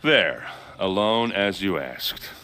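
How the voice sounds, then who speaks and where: Rough young warrior captain, low but bright voice, blunt and hotheaded. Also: male voice, very animated, slow pace, faint music behind, full character voice. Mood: concerned. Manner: blunt, hot-tempered, friendly. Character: brash, hearty young male warrior captain. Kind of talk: video game cutscene acting.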